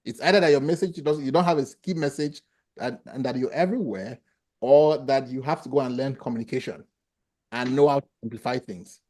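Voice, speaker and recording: A man's voice: medium pitch, brisk, a little mellow. A man with a Nigerian accent, a professor explaining things plainly and answering questions in an online class over a video call. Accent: Nigerian, with a slight Ghanaian tinge. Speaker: professor teaching a webinar class.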